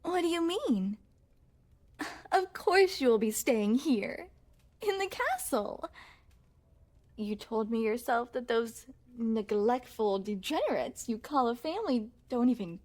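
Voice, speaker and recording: Woman acting a high-pitched, possessive yandere princess. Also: very animated, darkish tone, heavily acted. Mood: scornful, possessive. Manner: regal formal diction, slow coy cooing, possessive. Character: yandere princess, sweetly possessive, mischievous, menacing young woman. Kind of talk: ASMR roleplay, scripted monologue to the listener.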